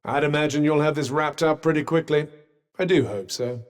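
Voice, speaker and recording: A man's voice: a little high, fairly quick, close to an everyday voice. A man as a wry, haughty British magical vambrace, reverberant. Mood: dry, sardonic. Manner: dry, sardonic, formal, condescending understatement. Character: sentient magical vambrace, wry, haughty, fussily cautious male companion. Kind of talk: video game character dialogue. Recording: long reverberant echo on the voice.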